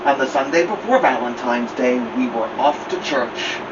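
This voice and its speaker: A man reading a children's picture book aloud as a storytime host, expressively, with drawn-out emphasis. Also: mid-range voice. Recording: muffled, clear background noise, slightly distant.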